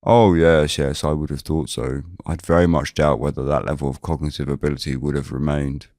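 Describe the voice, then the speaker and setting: A man's voice: extremely low pitch, flat delivery, fairly quick, a little mellow, no echo, studio quality. A man playing a fussy, verbose doctor in an audio drama, speaking in a clinical, pedantic, hedging manner. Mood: matter-of-fact. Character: fussy, verbose male small-town doctor.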